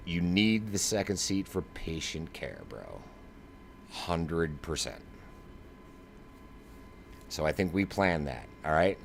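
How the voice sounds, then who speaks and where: A man's voice: sits low, sing-song, slow, pauses often. A man giving live flight simulator demo commentary in a casual, slangy, emphatic way, like a streamer. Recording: clear background noise.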